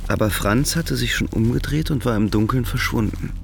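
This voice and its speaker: A man's voice: medium pitch, moderate pace, gravelly, noisy background. A man narrating a radio drama in scripted literary prose.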